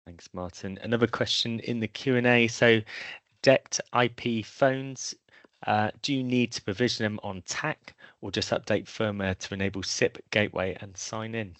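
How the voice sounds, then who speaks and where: A man's voice: fairly low pitch, fairly even intonation, normal speed, fairly bright tone, no room sound. A man hosting a webinar in a semi-formal, courteous way, moderating audience questions with slightly hesitant phrasing.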